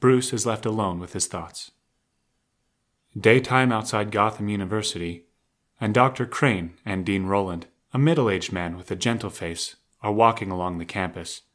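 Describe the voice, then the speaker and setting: A man's voice: lowish voice, moderate pace, fairly bright tone. A man narrating an audio drama from a script, describing scenes as the narrator.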